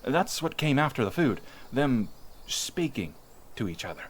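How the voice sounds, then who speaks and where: A man's voice: mid-range voice, measured pace, slight background noise. A man playing a chatty young villager who fondly recounts sea monster tales in an informal, rambling audio roleplay. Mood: reflective, fond. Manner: informal, animated, rambling witness account with hesitations. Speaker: voice actor. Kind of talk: in-character audio roleplay storytelling, conversational.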